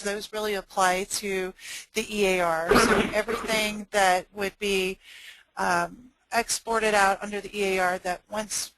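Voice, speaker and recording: A woman's voice: medium pitch, a bit bright, slight background noise, rough recording. A woman trade compliance consultant presenting a webinar, walking listeners through regulations step by step. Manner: explanatory, instructive.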